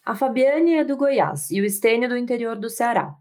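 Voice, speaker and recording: A woman moderating an interview, introducing guests and posing a question in a measured, prepared way. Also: on the high side, a little mellow.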